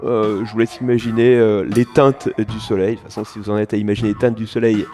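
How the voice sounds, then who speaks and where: A man's voice: fairly low pitch, level tone, quick pace, mellow, faint music behind, close to an everyday voice. A man playing an exasperated, hesitant narrator who wanders off in an informal audio comedy. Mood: grumbling, flustered. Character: muddled, grumbling male narrator who mistrusts his script. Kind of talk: informal, mock-improvised comic narration.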